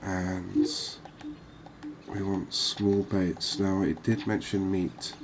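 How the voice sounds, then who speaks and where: A soft-spoken man giving ASMR gameplay commentary, thinking aloud with pauses. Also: low-pitched, little pitch movement, vocal fry, a little hiss or hum. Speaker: gaming video host.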